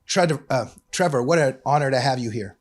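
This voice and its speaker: A man hosting a talk show, speaking emphatically in deliberate, drawn-out phrases. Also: mid-range voice. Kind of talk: scripted intro and interview talk.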